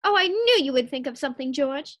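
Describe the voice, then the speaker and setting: Woman playing very high-pitched scatterbrained radio housewife. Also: expressive, fairly quick, a bit bright. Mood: breezy. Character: scatterbrained, scheming, cheerful young housewife Liz. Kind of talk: 1940s radio sitcom acting.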